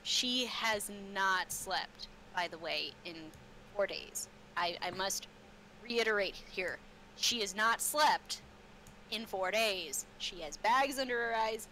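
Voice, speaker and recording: A woman narrating a tabletop game as game master, improvising haltingly and repeating phrases for emphasis. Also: medium pitch, expressive, bright, forceful tone, low-quality recording. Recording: clear background noise, room ambience.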